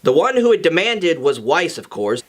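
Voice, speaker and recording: A man narrating a story in an animated, dramatic reading style. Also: on the high side, normal speed. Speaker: fan fiction reader. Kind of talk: animated scripted story narration with acted dialogue.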